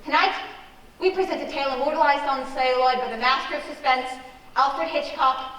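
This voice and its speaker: A woman's voice: high voice, steady tone, a little fast, fairly bright tone. Woman playing a droll radio suspense host, echoey hall. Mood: droll, mock-serious. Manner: theatrical, mock-ominous, tongue-in-cheek. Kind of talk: old-time radio mystery host, scripted introduction. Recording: very echoey hall, distant mic.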